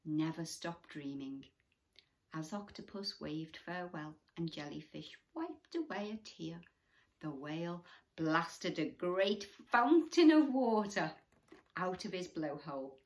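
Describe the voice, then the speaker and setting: A woman's voice: on the low side, expressive, measured pace. A woman reading a children's bedtime story aloud expressively, with little asides to young listeners.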